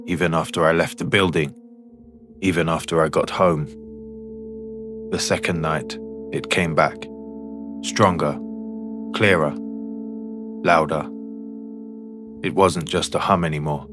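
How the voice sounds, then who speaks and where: A man plays a haunted signal technician with an extremely low voice, narrating a first-person horror story. The delivery is deliberate and comes in short, broken phrases. Music sits clearly behind the voice.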